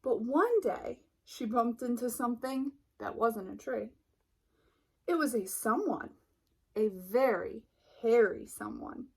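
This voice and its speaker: A woman narrates a picture book for children. Her voice is fairly high and softly breathy, with animated rises and falls. She takes her time and leaves dramatic pauses. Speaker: storybook reader. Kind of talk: expressive children's read-aloud narration.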